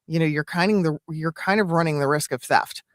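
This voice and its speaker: A woman's voice: low voice, fairly quick, no echo. A woman co-hosting as a coach in a casual live chat, explaining things step by step in an agreeable way on a close mic. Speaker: auditioning coach and co-host.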